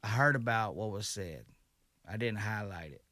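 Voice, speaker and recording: A man hosting a radio show, speaking in a calm, measured and reflective way in the studio. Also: on the low side, dry recording. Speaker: radio host. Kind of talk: radio interview.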